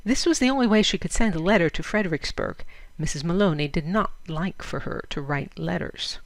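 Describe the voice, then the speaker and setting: A woman narrating a literary story in a measured, expressive storytelling manner. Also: lowish voice, no room sound. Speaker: audiobook reader.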